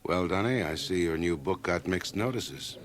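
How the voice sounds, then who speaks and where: Businessman, older, radio drama: deep, grumbling, world-weary skeptic, old cassette recording. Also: lively intonation, moderate pace. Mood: needling. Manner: blunt, grumbling. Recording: 1980 radio drama, home cassette transfer.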